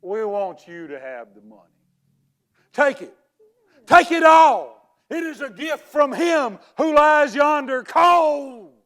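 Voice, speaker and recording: Pompous, slow, high-voiced pious swindler. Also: male voice, animated. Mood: grandiose, pleading. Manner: pompous, theatrical, drawn-out oratory. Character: smarmy male con man posing as a pious grieving English uncle. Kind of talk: dramatic acting in a literary comedy.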